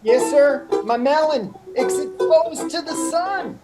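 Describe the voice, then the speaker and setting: A woman playing a glum, earnest gentleman chum in an old-style radio advert, melodramatic and exclamatory. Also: sits high, animated, slow. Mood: glum, curious. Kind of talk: vintage radio comedy acting. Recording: loud music behind the voice.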